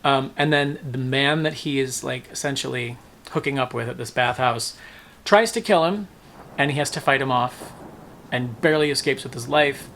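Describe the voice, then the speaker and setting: A man speaks in an informal, chatty vlog style, with a medium-pitched voice over audible ambient noise. He sounds like someone reviewing books off the cuff, stressing words and thinking out loud as he goes. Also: moderate pace. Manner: informal, emphatic, musing aloud and second-guessing himself. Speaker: book reviewer, vlog host.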